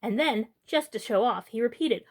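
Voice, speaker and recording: Animated woman reading a children's story. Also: fairly high pitch, moderate pace. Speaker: storytime host reading aloud. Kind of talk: children's picture-book narration.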